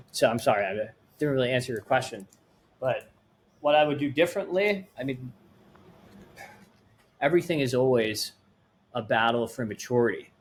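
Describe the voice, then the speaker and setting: A man's voice: mid-range voice, slight background noise. A man in his late twenties from Sweden with a light Swedish accent, answering interview questions as a startup founder in an earnest, rambling way. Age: late 20s.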